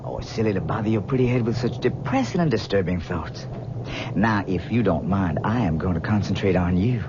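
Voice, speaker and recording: This is a haunted young husband, as an actor plays him in an old radio drama, theatrical and stammering. His voice sits at a middle pitch. Heavy hiss runs under the dull broadcast sound. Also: expressive, fairly quick, close to an everyday voice. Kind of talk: 1970s radio drama acting.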